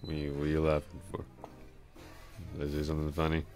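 A man playing a drunk, lovestruck coworker in an intimate ASMR roleplay, rambling bashfully, halting, repeating himself and putting himself down. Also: very deep voice, completely flat delivery, darkish tone, soft background music. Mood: giddy, rambling.

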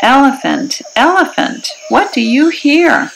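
A woman reading aloud to young children as a storyteller, in an expressive, singsong and emphatic manner. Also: sits high, vocal fry, heavy background noise. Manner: singsong, deliberate, emphatic delivery. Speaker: children's read-aloud host. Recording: music behind the voice. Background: music.

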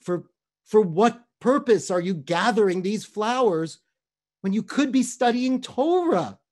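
A man's voice: high voice, animated, unhurried, voice pushed up. A man playing a fierce, furious old rabbi. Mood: earnest. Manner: outraged, shouting questions. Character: angry old male rabbi, scholarly and fierce.

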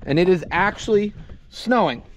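A man's voice: sits high, expressive, normal speed, rough recording. A man in his thirties, a farm vlogger, talking casually and thinking aloud as he works from a tractor cab, filmed on a cab-mounted action camera. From New York, USA. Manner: rambling, easygoing, thinking aloud. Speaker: farmer vlogger. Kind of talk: casual narration while working. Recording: loud engine noise behind voice. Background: loud tractor engine.

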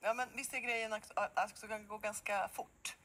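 A middle-aged woman hosting a morning show, asking lively, prompting questions in a TV studio on a lapel mic. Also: mid-range voice, slight background noise. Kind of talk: TV studio interview. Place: bright TV studio.

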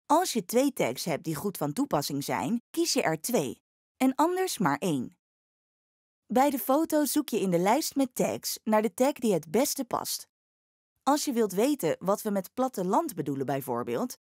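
Woman narrating a tutorial, lively intonation, dry sound. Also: medium pitch, no echo. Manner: clear step-by-step explaining. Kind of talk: scripted instructional voice-over.

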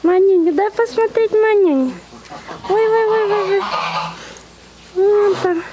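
A woman's voice: extremely high pitch, slow pace, muffled recording, background noise. A woman talking in affectionate, cooing baby talk full of repeated words and pet diminutives, as if to animals, recorded on a handheld camera outdoors. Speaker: zoo visitor filming and feeding bears. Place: outdoors at zoo bear enclosures. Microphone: handheld camera held by the speaker.

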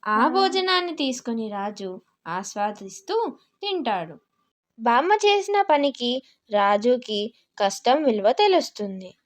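A woman's voice: a little high, sing-song, a little slow. A woman narrating a scripted moral story for children.

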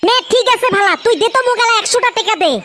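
A performer voicing a boastful, coarse-mouthed lazy son in a comedy cartoon, with a North Bengal accent and an artificially pitched-up voice. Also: very fast. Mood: boastful, pleading. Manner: pleading, bragging, coarse-mouthed. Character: boastful lazy son pestering parents for money. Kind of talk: comedy cartoon dialect dialogue. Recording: loud background noise with clicks.

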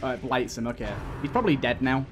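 A man's voice: mid-range voice, quick pace, muffled recording. A man giving casual gameplay commentary, thinking aloud through his tactics as he plays. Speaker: gaming channel host. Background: game music.

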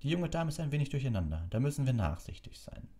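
A man acts out a patronising older male minister in a storybook reading. The voice sits above a normal speaking pitch, fussy and placating, with condescending swoops. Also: big pitch swings, fast talker, mellow, close to an everyday voice.

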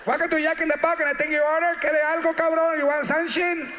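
A man putting on a gruff drive-thru worker with a Mexican accent, calling out loud and impatient. The voice sits higher than his own. Live audience noise is heard clearly behind him. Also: level tone, fast talker, roomy sound. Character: gruff male fast-food worker at a drive-thru speaker.

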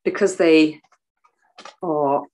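Older woman guest, soft low voice, gestures a lot, video-call sound. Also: extremely slow. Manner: animated, waves arms while talking. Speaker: guest author and artist. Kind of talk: casual video call interview. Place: home room, indoors.

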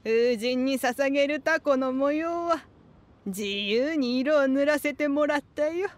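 A woman playing a kindly elderly craftswoman in a video game, with an aged voice and gentle manner. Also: high voice. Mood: kindly, content. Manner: gentle, unhurried. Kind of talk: video game character acting.

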